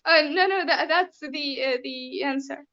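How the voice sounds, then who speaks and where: A Syrian woman with an Arabic accent, giving careful, formal interview answers with many pauses and ums. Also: high-pitched, sharp tone, highs cut off. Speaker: interview guest.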